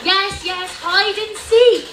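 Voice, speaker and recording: A woman performs a young woman in comic trouble, flustered and grumbly, for a live amateur radio play. The voice is extremely high and rings in an echoey room. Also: fairly even intonation, slow pace. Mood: flustered, apologetic.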